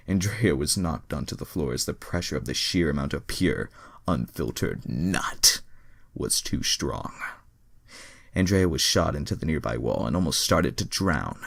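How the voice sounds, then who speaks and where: A man's voice: extremely low pitch, gravelly, no room sound, studio quality. A man reading fan fiction aloud, breaking off into exasperated, sweary asides. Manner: exasperated, sweary commentary on the text. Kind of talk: dramatic reading with casual profane asides.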